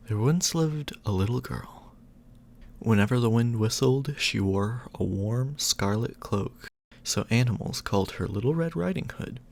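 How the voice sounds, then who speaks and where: A man's voice: mid-range voice, gravelly, a little mellow. A man doing affectionate ASMR bedtime storytelling as a boyfriend, in a soothing manner.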